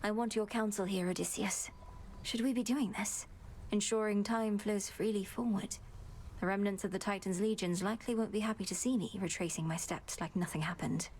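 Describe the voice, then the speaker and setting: A woman's voice: medium pitch, steady tone, darkish tone, lightly acted. Game role: woman as dutiful young underworld princess, formal, composed, earnest; faint noise behind. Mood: composed, resolute. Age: young adult. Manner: formal, measured, courteous diction. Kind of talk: video game voice acting.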